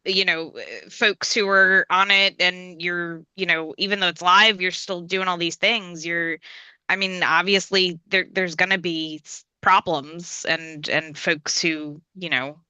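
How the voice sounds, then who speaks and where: Woman, bright forceful tone, crisp and dry sound, playful casual panel chat. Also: lowish voice, steady tone, a little slow, no room sound. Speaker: panelist at a fan convention.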